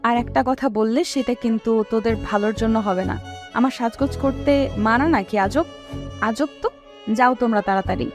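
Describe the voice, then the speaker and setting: A woman playing an emotional, playful, lovesick young woman in an audio story, with a girlish, expressive manner. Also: a little high, moderate pace, close to an everyday voice. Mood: huffy. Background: prominent music.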